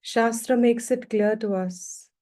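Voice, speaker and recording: A woman teaching guided meditation, giving slow, calm instructions with long, measured pauses. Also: fairly high pitch.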